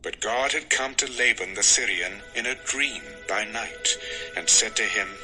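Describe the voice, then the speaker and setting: A man's voice: on the low side, a little slow, pushed and bright, faint music behind, low-quality recording. A man narrating scripture from a script as the narrator of an audio drama.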